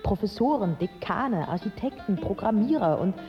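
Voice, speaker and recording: An actress playing a candid, resolute young Iraqi woman, narrating a radio drama in a measured, articulate, earnest way. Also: mid-range voice, lively intonation, dull audio, faint music behind, natural voice. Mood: proud.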